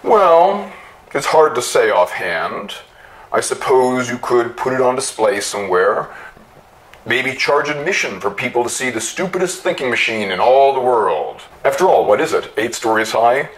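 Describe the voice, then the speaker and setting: A pedantic expert inventor, as a male reader puts him on in a storybook tale. He reasons things out in a measured, wry, explanatory way. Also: mid-range voice, very animated, fairly bright tone, poor audio. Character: pedantic, reasonable male constructor friend, self-declared expert.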